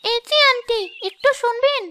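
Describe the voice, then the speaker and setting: A woman's voice: extremely high pitch, steady tone, moderate pace, noisy background. A woman voicing a kind, thoughtful little rich girl in a cartoon, speaking earnestly. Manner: earnest, pleading. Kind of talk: cartoon voice acting.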